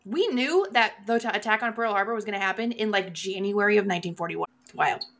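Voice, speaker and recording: A woman's voice: medium pitch, quick pace, vocal fry, a bit bright. A woman co-hosting a podcast in casual chat, talking in a rambling, self-aware way that slips easily into tangents. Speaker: podcast co-host. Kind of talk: casual chat about horror movies.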